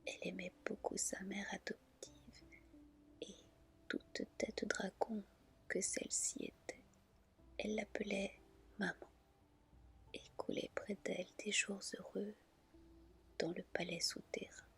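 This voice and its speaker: A woman reading a fairy tale as an ASMR storyteller, in a gentle, soft, deliberate whisper. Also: medium pitch, flat delivery, vocal fry, slightly rough.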